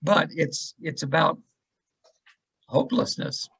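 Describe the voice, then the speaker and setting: Man, extremely gravelly, husky, slow and musing. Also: fairly high pitch, lively intonation, a bit bright. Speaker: class member. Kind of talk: casual group discussion.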